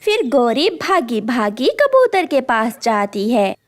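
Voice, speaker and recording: A woman narrates a children's story. Her voice is high, and she delivers scripted storytelling lines. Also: sing-song, slow pace.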